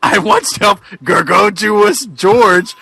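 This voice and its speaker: A man voicing the chummy, folksy ghost of a wrestler with hammy, drawn-out delivery in a comic reading. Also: high voice, creaky, pushed and bright, breathy edge, exaggerated voice. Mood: chummy, concerned.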